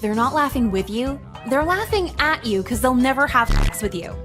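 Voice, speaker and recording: A high-pitched, cutting teenage girl from a visual novel game. She is deadpan and sarcastic, full of contempt for those around her. Music plays clearly behind her. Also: normal speed. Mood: cold contempt. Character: sardonic teenage girl, contemptuous new kid at school.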